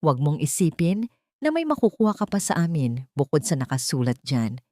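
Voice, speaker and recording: A woman narrator acts out a haughty older matriarch in a melodramatic audio story. She is commanding and contemptuous, with a sneer in her delivery. The voice sits fairly low and the sound is dry. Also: big pitch swings, brisk, darkish tone, dry recording. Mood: cold contempt. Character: haughty, cruel older matriarch mother-in-law.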